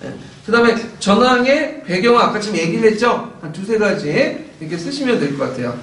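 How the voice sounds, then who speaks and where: A man in his forties gives an animated, chatty lecture in a classroom. His voice sits high and swings widely, and it comes across slightly hoarse. He is picked up from afar, with the room echoing around him. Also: brisk, far from the mic. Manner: animated, chatty, gestures while explaining. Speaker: lecturer for teacher-exam prep. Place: classroom with whiteboard. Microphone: far camera.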